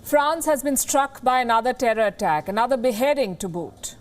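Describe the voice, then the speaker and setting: A woman's voice: fairly high pitch, normal speed, a little hiss or hum. A woman with an Indian accent reading scripted news as an anchor, emphatic and formal.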